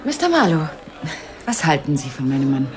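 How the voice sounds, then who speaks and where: A woman's voice: sits low, big pitch swings, a little fast, music in the background. A woman playing a gracious, poised hostess, a writer's wife, in a radio drama, speaking politely.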